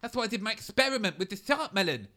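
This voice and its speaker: A self-important scientist, played by a man in a comedy audio drama. He speaks in a high, bright voice and lectures everyone pompously. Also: sing-song, fast talker, full character voice. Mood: lecturing. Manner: pompous, explanatory.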